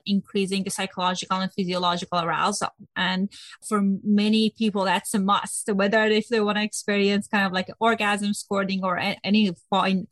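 A woman's voice: mid-range voice, fairly bright tone, no room sound. Woman host, dry close sound. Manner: asks questions, frequent restarts and non-native phrasing. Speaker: podcast host. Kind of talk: conversational interview.

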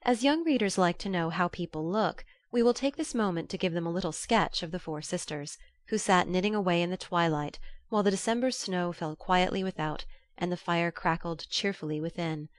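Woman reading a classic novel audiobook, lowish voice, very dry close sound. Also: a little fast, hardly any pauses, dry recording. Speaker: audiobook narrator. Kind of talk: scripted literary narration.

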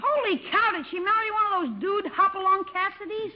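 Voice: extremely high pitch, fairly even intonation, fairly quick. A performer playing a wisecracking American schoolboy nephew in a radio sitcom, brash and slangy. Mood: eager, impudent. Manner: brash, slangy, smart-alecky. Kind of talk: 1950s radio sitcom acting. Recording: muffled, noisy old radio recording with clicks.

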